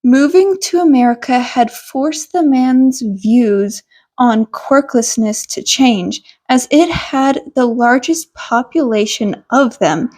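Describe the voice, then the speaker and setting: A woman's voice: sits high, expressive, slow, a little mellow. A woman reading a scripted story aloud as an amateur audiobook narrator.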